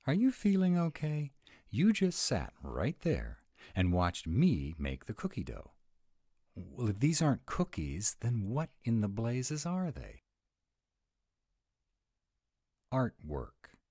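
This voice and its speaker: A man's voice: mid-range voice, wildly swooping pitch, warm tone, no echo, studio quality, exaggerated voice. A man playing a grumpy, fussy, easily flustered were-dragon who loves food, in a dramatic, exasperated roleplay audio. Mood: flustered outrage. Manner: exasperated, dramatic, slow and emphatic. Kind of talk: one-sided romantic comedy roleplay audio.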